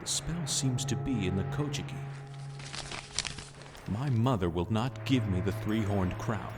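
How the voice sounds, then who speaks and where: An obsessed researcher, played by a male actor, reads out his findings in an earnest, expository voice. His pitch is low, and clear music plays behind. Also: expressive, measured pace. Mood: driven. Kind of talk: fan manga dub, narrated notes.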